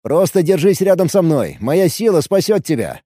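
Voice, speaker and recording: A man's voice: a little high, sing-song, normal speed, mellow, no room sound, clean studio sound. A man playing a bold, brash fighter and leader with a commanding, urgent delivery in an audiobook. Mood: reassuring, bold.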